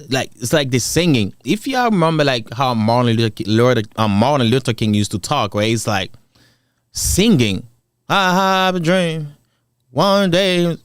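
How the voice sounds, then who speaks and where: A man's voice: medium pitch, dry recording, studio quality. A man with an African accent hosting a casual commentary channel, reacting to clips and thinking aloud with many hesitations.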